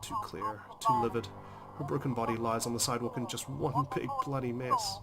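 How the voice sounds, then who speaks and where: A man's voice: mid-range voice, very animated, brisk, gravelly, very noisy. A man hosting a let's play, reading visual novel narration aloud dramatically with casual, emotionally involved comments. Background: game music.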